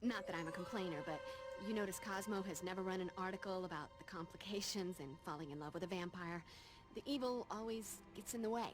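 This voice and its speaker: A woman's voice: on the low side, brisk, close to an everyday voice. A young American woman playing a brave, wisecracking vampire slayer in a video game, flippant and sarcastic. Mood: wry. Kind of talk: video game cutscene acting. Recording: loud music under the voice.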